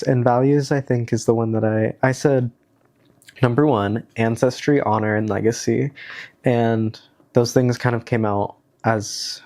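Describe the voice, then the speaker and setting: A man's voice: on the low side, gravelly, darkish tone. A young man with a cold and a stuffy, nasal voice, rambling through a self-help worksheet in a casual vlog. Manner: rambling, hesitant, many fillers. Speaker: vlogger. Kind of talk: casual chat, reading worksheet aloud.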